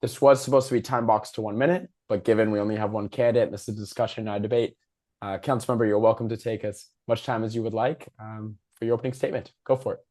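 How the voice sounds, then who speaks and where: A man with a hoarse, failing voice hosting a civic discussion over a video call, presenting prepared material informally. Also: fairly low pitch, fairly even intonation, fast, darkish tone. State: hoarse, losing his voice. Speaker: moderator and host of a civic discussion.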